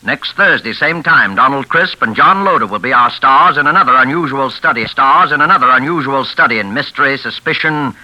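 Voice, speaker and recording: A man announcing a 1940s radio show in a measured, dramatic style. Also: mid-range voice, dull audio. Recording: crackly, faintly noisy old radio.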